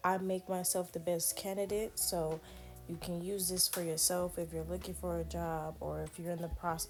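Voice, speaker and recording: An American woman vlogger chatting casually in a playful, sassy, slangy way, her voice slightly hoarse. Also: on the low side, a little mellow. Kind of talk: casual chatty day-in-the-life vlog.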